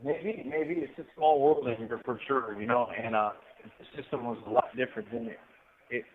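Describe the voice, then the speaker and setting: A middle-aged man from Southern California talking casually and reflectively from prison over a phone line, in a halting way. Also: medium pitch, fairly even intonation. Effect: telephone line. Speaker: incarcerated interview guest calling from prison. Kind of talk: casual personal storytelling and reflection. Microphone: telephone handset.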